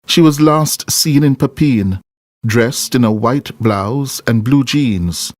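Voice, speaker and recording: A man's voice: mid-range voice, slow pace, no echo, studio quality. A man reading scripted narration for a television news documentary. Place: close-miked voice-over with music behind.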